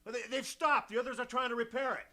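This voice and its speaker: A man's voice: very high voice, steady tone, quick pace, pushed and bright, slight background noise. A man playing a stammering, panicky artillery spotter. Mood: alarmed. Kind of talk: amateur radio drama.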